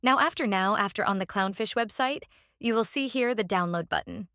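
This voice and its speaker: A woman who speaks English as a second language hosts a step-by-step software tutorial in a halting, repetitive instructional way. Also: mid-range voice, no room sound, clean studio sound. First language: non-native English speaker. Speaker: tutorial host.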